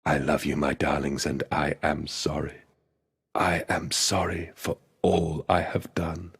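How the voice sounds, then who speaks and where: A tormented father and creator, weighed down by guilt yet grimly resolute. His low voice is very husky and gravelly, delivered mournfully in a long hall reverb, as dark video game drama. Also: little pitch movement, unhurried, heavily acted. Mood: grieving, remorseful.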